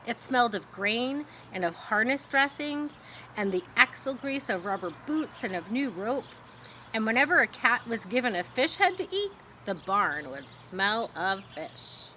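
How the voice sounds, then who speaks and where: A woman reading a children's story aloud, pausing to explain things simply as if to young listeners. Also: a little high, pushed and bright, a little hiss or hum, low-quality recording. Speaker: storybook reader.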